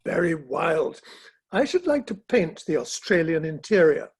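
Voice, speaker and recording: Male actor as affable Victorian club gentleman and painter, high-pitched, husky, stage-play delivery. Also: big pitch swings, unhurried, full character voice. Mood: affable, curious. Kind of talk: dramatic play reading.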